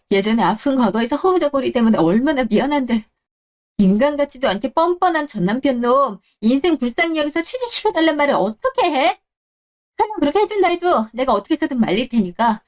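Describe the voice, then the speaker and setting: A woman reading a radio drama as a wife, speaking in a cold, cutting, scolding manner. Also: high-pitched. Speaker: wife and storyteller.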